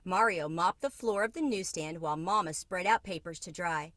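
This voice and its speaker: A woman reading a children's book aloud in an expressive narrating style. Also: on the low side, moderate pace, no echo. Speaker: storybook reader.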